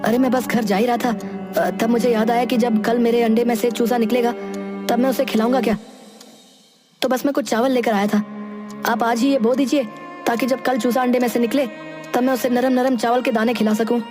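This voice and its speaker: A woman's voice: fairly high pitch, level tone, a little fast, natural voice. A woman voicing a simple, earnest young village lad in a cartoon moral story. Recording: loud music under the voice.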